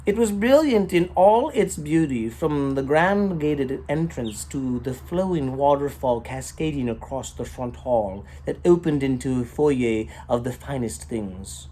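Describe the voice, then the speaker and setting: A man reading a short story as its storyteller, in a theatrical, rhythmic manner with drawn-out emphasis and rhyming phrases. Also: medium pitch, animated, warm tone, slight background noise, low-quality recording. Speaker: storyteller, author reading own work.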